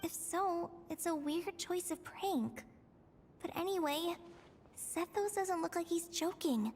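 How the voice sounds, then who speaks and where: A woman's voice: extremely high pitch, slow pace, a little mellow, long reverb tail, slight background noise, exaggerated voice. A woman voicing a confused, earnest young female forest ranger trainee in a video game, hesitant and anxious. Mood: puzzled.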